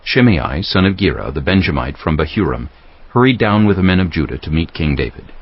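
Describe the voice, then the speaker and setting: Very deep husky male narrator. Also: big pitch swings, brisk, muffled recording. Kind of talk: scripted Bible narration.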